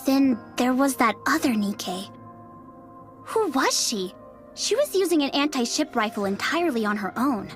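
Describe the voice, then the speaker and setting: A young female soldier in a game cutscene, blunt and prone to worry. Her high voice swings expressively as she speaks her mind, with music behind her. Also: moderate pace. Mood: worried, puzzled. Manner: expressive, outspoken. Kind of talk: dubbed game cutscene voice acting.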